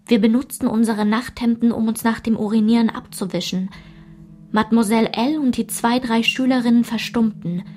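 A woman playing a narrator who recalls her ashamed girlhood, in a measured, matter-of-fact literary radio play style. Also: fairly high pitch, steady tone, darkish tone, natural voice. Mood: sober recollection.

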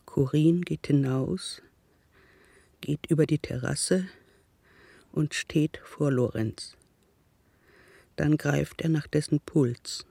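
An actor playing a bitter, haunted old man in a radio drama monologue, husky, creaky and brooding. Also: on the high side, slow pace, long pauses, warm tone, no room sound, full character voice. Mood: brooding, grim.

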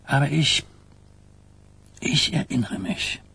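An actor playing an old, weary and blunt New York mafia boss in a German radio crime drama, husky and grumbling. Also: male voice, a little high, steady tone, slow, pushed and bright, heavily acted. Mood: bitter. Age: older.

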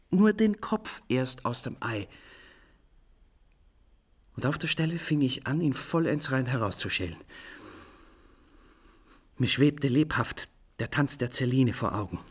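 An actor in a German radio play playing an exuberant composer who tells of his own mishap in an animated, theatrical way. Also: medium pitch. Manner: theatrical, animated, storytelling with shifting pace. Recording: faint music behind the voice.

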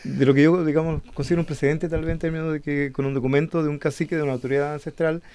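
A man's voice: a little high, flat delivery, racing, dark tone, smooth. A man interviewing a guest, asking long hedged questions full of filler words.

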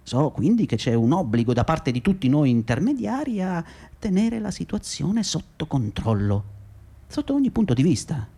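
An actor playing a condescending, self-justifying labour agency manager in a radio drama, with patronising, hesitant, repetitive speech. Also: male voice, a little high, sing-song, moderate pace, vocal fry, warm tone, full character voice. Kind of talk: radio drama monologue and dialogue.

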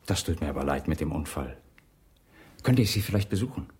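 A man's voice: extremely low pitch, lively intonation, fairly quick, darkish tone, breathy edge, full character voice. An actor playing a big, devoted toy maker in his forties in a radio crime drama, earnest and explanatory. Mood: polite, forthcoming. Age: 40s.

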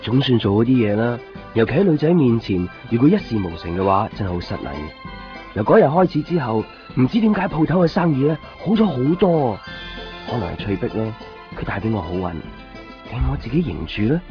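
A man's voice: mid-range voice, sing-song, brisk, close to an everyday voice. An actor playing an eager, shy young copy-shop man in a Cantonese radio drama, earnest and prone to stammer and repeat himself. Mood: reflective, hopeful. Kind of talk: Cantonese radio drama dialogue and inner narration. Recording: muffled 1990s radio broadcast, music underneath. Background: music.

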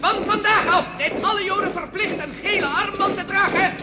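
A man's voice: very high voice, normal speed, roomy sound, a loud crowd, very noisy. An actor playing a male official who proclaims decrees in a radio play. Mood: proclaiming. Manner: stiff, proclaiming. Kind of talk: radio drama acting.

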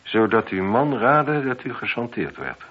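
An actor playing a composed, probing police inspector in a radio play, speaking in a measured, formal way. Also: male voice, mid-range voice, very animated. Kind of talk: Dutch radio play acting. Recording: dull, muffled old radio recording.

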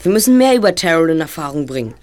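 An actor playing a brisk, analytical boy detective leader in an audio drama, speaking in precise, bookish phrases. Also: mid-range voice, very animated, darkish tone, close to an everyday voice. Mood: businesslike, confident.

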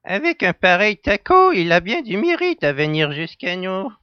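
Man as very high-voiced smug adventurer. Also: sing-song, moderate pace, smooth, no echo, lo-fi sound. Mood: mocking. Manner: self-satisfied, flippant. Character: smug, mocking male space adventurer. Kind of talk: audio drama dialogue.

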